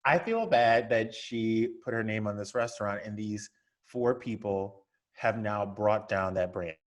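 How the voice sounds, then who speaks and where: A man's voice: fairly low pitch, unhurried. A man speaking as a podcast guest in casual chat, emphatic and repeating words for stress.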